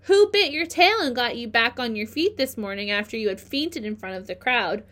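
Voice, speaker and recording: A woman's voice: sits high, lively intonation, a little fast, sharp tone, voice pushed up. A woman voicing an earnest young male pig begging for help. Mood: urgent. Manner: pleading, urgent.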